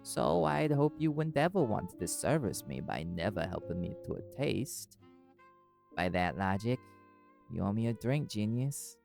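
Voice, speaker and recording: A man's voice: lowish voice, very animated, a little slow, vocal fry, a little mellow, soft background music, full character voice. A man playing a cool, wry, flirty magical private eye in an intimate audio roleplay, teasing dryly and swearing casually.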